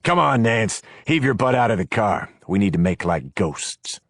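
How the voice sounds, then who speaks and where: A man's voice: lowish voice, big pitch swings, moderate pace, no echo, clean studio sound. A man voicing a crude, scornful male gang leader who talks in a brash, mocking way. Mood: cocky.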